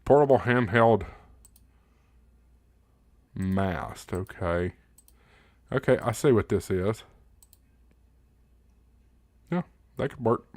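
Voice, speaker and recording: Guy doing a casual livestream q&a, kinda deep voice, really dry close audio, folksy and plain spoken. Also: slow, pauses often, no echo. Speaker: livestream host. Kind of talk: casual live Q&A chat, reading viewer comments.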